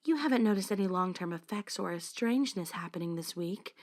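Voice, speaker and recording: A woman's voice: fairly low pitch, lively intonation, brisk, darkish tone. A woman voicing a poised, caring teenage club president in a fan visual novel, articulate and confiding. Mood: concerned, confiding.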